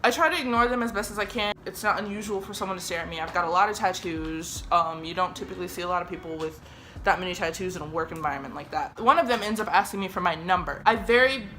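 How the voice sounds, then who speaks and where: A woman's voice: lowish voice, quick pace, slight background noise. Chatty swearing young woman. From Germany. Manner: chatty, swears often, lively re-enacted quotes. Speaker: vlogger telling a story. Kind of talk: casual storytime.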